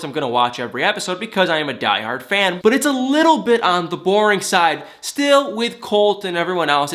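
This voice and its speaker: Guy w a head cold doing a chatty recap, kinda high voice, tired but emphatic. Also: bright, forceful tone. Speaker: TV recap host. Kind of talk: casual reality TV recap.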